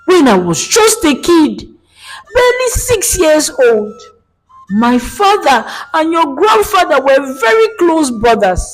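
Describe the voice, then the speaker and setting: A woman's voice: sits high, very animated, slow, soft background music, pitched above the performer's own voice, full character voice, low-quality recording. A woman voicing a bitter, vengeful nanny, a folktale villain, angry and emphatic with an emotional, cracking voice.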